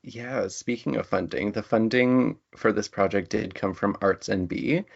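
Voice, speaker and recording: A man's voice: on the low side, unhurried, creaky. A man talking casually as a writer being interviewed. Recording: dull, band-limited audio.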